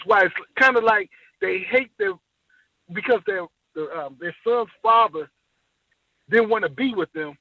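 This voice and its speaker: Male caller, high voice, halting and rambling, heard over a thin phone line. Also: no room sound. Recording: tinny dry phone line.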